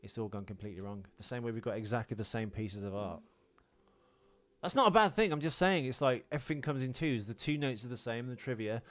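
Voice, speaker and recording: A man's voice: medium pitch, expressive, fast, fairly bright tone. A man with a British accent co-hosting a casual comedic podcast, rambling along in a jokey, self-deprecating way. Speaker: podcast co-host.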